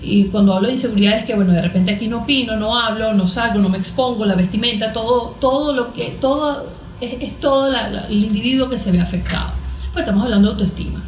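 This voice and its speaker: A woman's voice: a little high, fast talker. A Venezuelan woman, a therapist being interviewed, explaining things in an earnest, didactic way, point by point. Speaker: therapist and coach, interview guest. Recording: roomy echo.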